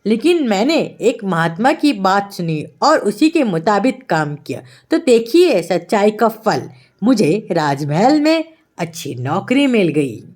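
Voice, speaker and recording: A woman's voice: medium pitch, very animated, measured pace, a little mellow. A woman voicing an honest, earnest sixteen-year-old boy thief who speaks plainly in a storybook tale. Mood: earnest, explaining.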